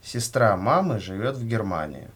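Male, lowish and husky, varied intonation, delivering measured language-course questions and model replies. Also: big pitch swings. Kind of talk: scripted language-lesson dialogue, read aloud.

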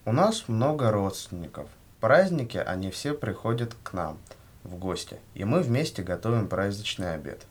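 A man reading scripted questions and answers for a language lesson in a measured way. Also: fairly low pitch, sing-song, breathy edge. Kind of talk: scripted language-lesson dialogue, read aloud.

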